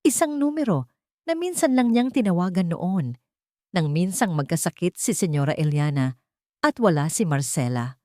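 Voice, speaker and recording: Female storyteller, lowish register, lively swings in intonation, suspenseful dramatic narration in a dry booth sound. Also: moderate pace, no echo.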